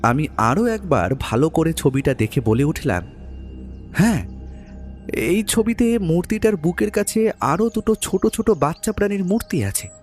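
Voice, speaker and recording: An actor playing a detective's eager companion, a man with a husky voice, narrating their case expressively in an audio story. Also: fairly high pitch, very animated, normal speed, soft background music. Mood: curious. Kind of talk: dramatised first-person story narration.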